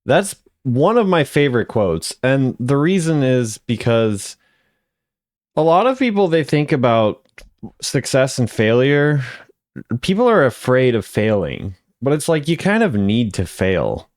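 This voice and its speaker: Smooth, slow-talking man, casual commentary. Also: mid-range voice, sing-song, a little mellow, no echo, clean studio sound. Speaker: video creator reacting to game voice lines.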